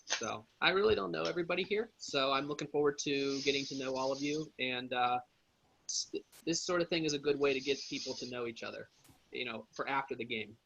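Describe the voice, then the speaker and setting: A man's voice: mid-range voice, fairly quick, vocal fry, bright, forceful tone, muffled recording, slight background noise. A man from Pennsylvania casually introducing himself with rambling fillers over a video call. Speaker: game player.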